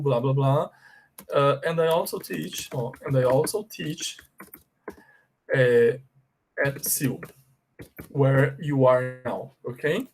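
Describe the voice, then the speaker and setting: A man with a Brazilian Portuguese accent teaches a basic English lesson, his voice sitting at an ordinary middle pitch. He speaks slowly and deliberately, walking through examples and stopping often to check that his students follow. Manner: slow, deliberate explaining with frequent check-ins. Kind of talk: bilingual language lesson.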